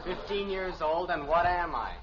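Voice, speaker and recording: An actor playing a whiny, comically aggrieved fifteen-year-old son with an American accent in 1950s radio sitcom style. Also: male voice, high-pitched, close to an everyday voice. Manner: whiny, drawn-out complaining. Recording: dull, noisy radio recording with studio audience.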